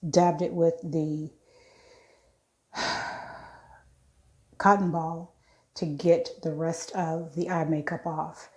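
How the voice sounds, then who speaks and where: A woman's voice: sits low, darkish tone. A woman in her late forties casually walking viewers through her skincare routine, chatty and frank, correcting herself as she goes. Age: 40s. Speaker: beauty vlogger.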